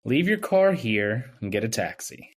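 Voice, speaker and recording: An actor as a young hospital intern in an old-time radio play. He has a medium-pitched voice and a mild, casual, agreeable way of talking. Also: very animated, unhurried. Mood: easygoing, agreeable.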